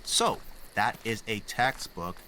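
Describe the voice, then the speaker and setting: A man's voice: on the low side, sing-song, slow pace, sharp tone, a little hiss or hum, exaggerated voice. A man playing a nerdy, anxious teenage boy in an audio drama, wordy and wry, explaining things pedantically. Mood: pedantic. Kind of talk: naturalistic audio drama acting. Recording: faint outdoor night ambience with crickets.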